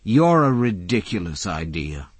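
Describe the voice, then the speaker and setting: A man's voice: low voice, big pitch swings, unhurried, husky, no echo, pitched above the performer's own voice, heavily acted. A man voicing a weary, wry scientist who talks in a dry, exasperated way.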